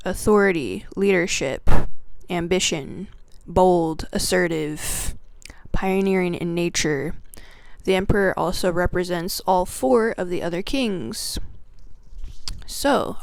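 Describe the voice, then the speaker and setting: A woman teaches the energies of the zodiac at a slow, deliberate pace. Her voice carries a gravelly, crackling texture, and she often softens into a whisper as she lists qualities one by one. The sound is close and dry, with no room echo. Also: fairly low pitch. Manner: slow, drawn-out delivery with soft whispered phrases; reverent, lists qualities one word at a time. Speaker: astrology teacher. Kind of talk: spiritual teaching video opened with a prayer.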